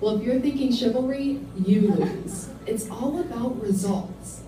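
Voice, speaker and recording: A woman's voice: a little high, a little slow, noisy background. A woman narrating an informational video in a scripted, persuasive tone. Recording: echoey playback from far off.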